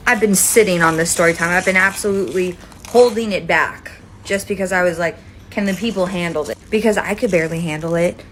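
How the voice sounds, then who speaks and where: A woman's voice: lowish voice, brisk, a bit bright, a little hiss or hum. A woman in her twenties with an American accent, telling a personal story casually and emphatically. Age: 20s. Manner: dramatic, emphatic, peppered with "like" and swearing. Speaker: storytime video creator.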